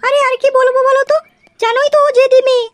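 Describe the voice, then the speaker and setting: An actor voicing a squeaky, fussy, doting female in-law in a comedy cartoon. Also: level tone, quick pace, faint music behind. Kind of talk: Bengali comedy cartoon dialogue. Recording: loud noise behind the voice.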